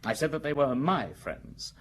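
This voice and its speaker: Actor as pompous, impatient British magistrate, animated delivery. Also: male voice, on the high side, moderate pace, lightly acted. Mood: indignant, haughty. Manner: pompous, exasperated. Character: impatient, snobbish examining magistrate, prejudging and imperious. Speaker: actor in a radio drama. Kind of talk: British radio drama acting. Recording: noisy radio drama recording.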